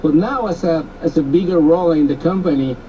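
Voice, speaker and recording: A man's voice: on the high side, fairly quick, a little hiss or hum. A man hosting a radio interview, asking long rambling questions with repeated words. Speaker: radio host. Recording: distant, dull radio audio.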